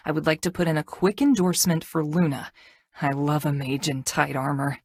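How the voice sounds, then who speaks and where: Low, husky, brooding tough game heroine. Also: female voice, no room sound. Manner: dry, deadpan, halting when flirting. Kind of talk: video game character dialogue.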